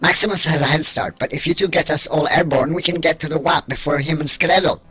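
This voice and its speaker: A mouthy, excitable robot, acted by a man, with a raspy and very sharp-edged voice. He talks bluntly and emphatically, in the manner of a fan-made comic dub. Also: a little high, quick pace. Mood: brisk. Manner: indignant, blunt, exclamatory. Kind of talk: amateur comic dub acting.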